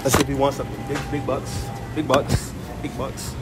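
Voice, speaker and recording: A man's voice: mid-range voice, flat delivery, a little fast, loud music behind the voice. An American man chatting casually in a slangy, informal way as he hosts a prank video. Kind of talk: casual vlog chat.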